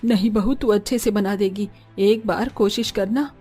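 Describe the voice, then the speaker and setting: A woman puts on a bossy middle-aged matriarch for a cartoon drama. She drops the voice low, fussing and coaxing, with music behind. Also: moderate pace, dark tone, slightly rough, natural voice. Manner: demanding, coaxing.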